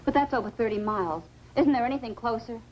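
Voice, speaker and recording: A woman's voice: fairly high pitch, fast, lo-fi sound, natural voice. An actress playing a serious, precise female doctor, explaining things clinically in 1950s science fiction film style. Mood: uneasy. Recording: noisy old 1950s film soundtrack.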